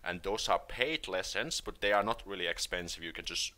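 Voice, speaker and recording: A man with a Finnish accent hosting a relaxed live stream and answering questions from the chat. His voice sits low but has a bright, forward edge. He talks loosely and hesitantly, restarting sentences and filling pauses with "like" and "uh". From Finland.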